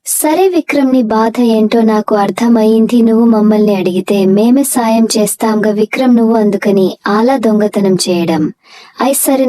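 Woman as a mellow, concerned schoolteacher. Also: on the high side, no echo, lightly acted. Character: concerned, understanding female schoolteacher questioning pupils.

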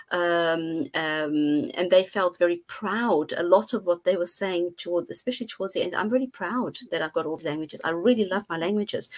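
A middle-aged woman, a guest researcher, explaining her findings thoughtfully on a video call from her home study, with frequent pauses and fillers. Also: mid-range voice. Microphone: earphone mic on video call.

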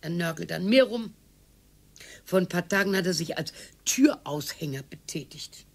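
A sharp-tongued older wife in a radio play. Her voice is gravelly and husky, her pitch lively, her pace slow. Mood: complaining. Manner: tart, complaining.

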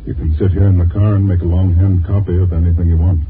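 An actor playing a shady, businesslike private detective in 1950s radio drama, curt and matter-of-fact. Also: male voice, low voice, little pitch movement, quick pace, lightly acted. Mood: curt, sly. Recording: muffled, hissy 1950s radio recording.

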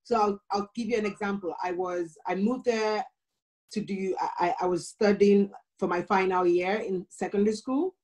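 A woman's voice: mid-range voice, steady tone, normal speed, darkish tone, low-quality recording. A woman from Nigeria with a Nigerian accent, speaking as a guest on a video call panel in an earnest storytelling way.

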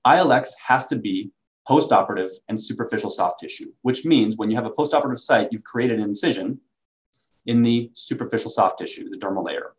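A man presenting a product as a company sales executive, in a measured, explanatory way. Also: on the low side, poor audio.

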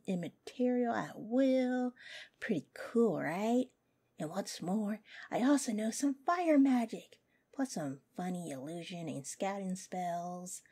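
Woman acting as playful imp girl, coy teasing, obsessively smitten, unhurried pace, swooping intonation, roleplay audio. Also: lowish voice, darkish tone, slightly rough, heavily acted. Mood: coy, boastful. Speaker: voice actress.

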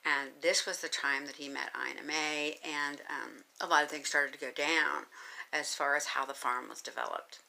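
A woman's voice: low voice, fairly even intonation, normal speed, creaky, sharp tone, low-quality recording. A woman in her sixties from the United States telling her life story to a phone camera in a small room, rambling with many ums and you-knows. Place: small carpeted bedroom indoors. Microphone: phone camera at arm's length.

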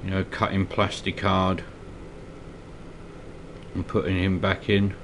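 Deep-voiced man with a stuffy cold. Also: slow pace, a little hiss or hum. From Scotland. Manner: relaxed, hesitant, many ums and uhs. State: slightly congested from a cold. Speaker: miniature painting tutor. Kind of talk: casual hobby tutorial narration. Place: indoor hobby desk.

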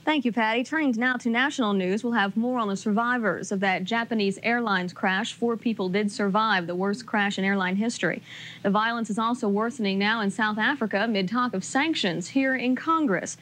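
A woman's voice: mid-range voice, brisk, fairly bright tone, a little hiss or hum. A woman anchoring the news in a television studio. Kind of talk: scripted newscast delivery.

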